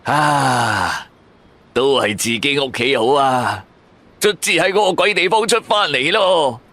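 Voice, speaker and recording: A man's voice: medium pitch, expressive, takes it slowly, sharp tone, voice pushed up, full character voice. A man voicing a superstitious, hot-tempered, whining young male sidekick in a Cantonese radio drama, exclaiming and grumbling. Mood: spooked, indignant.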